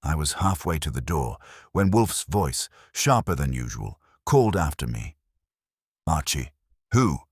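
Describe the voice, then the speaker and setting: A man's voice: rumbling low, sing-song, moderate pace, husky, no echo, studio quality. A man narrating a scripted detective story as an audiobook.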